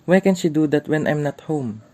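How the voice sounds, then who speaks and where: A man's voice: fairly high pitch, lively intonation, moderate pace, a little mellow, no echo, studio quality, natural voice. A man playing a grumpy, stern father in an amateur radio play. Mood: irritated.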